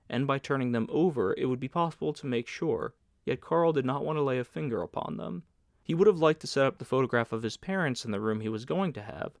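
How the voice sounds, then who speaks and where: A man's voice: medium pitch, dry recording, clean studio sound. A man reading literary fiction aloud as an audiobook narrator, in scripted narration.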